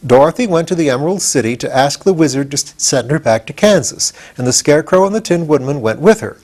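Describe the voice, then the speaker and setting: Storybook lead: plucky, self-assured boy, played by a man, raised pitch, animated intonation, eager to explain. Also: quick pace. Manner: eager, matter-of-fact explaining. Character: confident, explaining farm boy, proud and adventurous.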